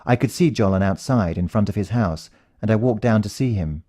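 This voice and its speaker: British male narrator, low and very mellow, for suspenseful first-person fiction. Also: fairly quick. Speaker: audiobook narrator.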